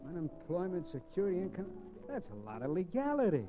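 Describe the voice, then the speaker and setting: A man's voice: sits high, huge pitch swings, brisk. An actor playing a cocky, restless drifter crook in a 1950s radio crime drama, with slangy, wisecracking tough-guy talk. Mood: flippant. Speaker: radio drama actor, lead and first-person narrator. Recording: muffled vintage 1950s radio recording, faint music.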